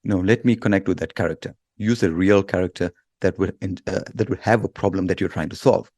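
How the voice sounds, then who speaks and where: A man's voice: low voice, a little fast, darkish tone, dry recording, studio quality. A man speaking as an expert guest in an interview, explaining with examples in a blunt, emphatic way.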